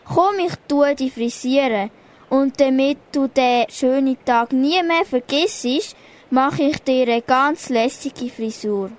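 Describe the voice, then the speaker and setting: Girl as a high-voiced, unhurried helpful animal. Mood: confident, calm. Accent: Swiss German. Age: child or young teen pupil. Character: confident, helpful monkey hairdresser and animal friends. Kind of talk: school radio play acting.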